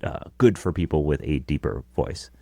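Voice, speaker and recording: A man in his thirties with a deep voice, co-hosting a podcast in casual chat from a small home studio on a close broadcast mic. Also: normal speed, gravelly, mellow. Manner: chatty, explaining his gear with casual asides. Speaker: podcast co-host. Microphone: close dynamic broadcast mic.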